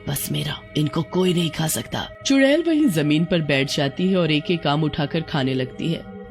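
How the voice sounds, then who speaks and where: A woman's voice: low-pitched, a little mellow. A woman narrator reading a scripted moral horror tale in a dramatic storytelling style.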